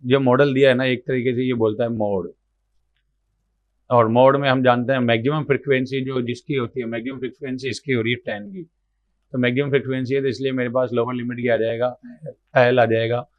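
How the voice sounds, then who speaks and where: A man with a slightly hoarse, mid-pitched voice walks through exam math problems one step at a time, talking to himself as he writes. The sound is dull and lo-fi, recorded dry and close with no room echo. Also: fairly even intonation, a little fast. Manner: explains aloud while writing, repeats numbers, asks and answers his own questions. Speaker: math teacher.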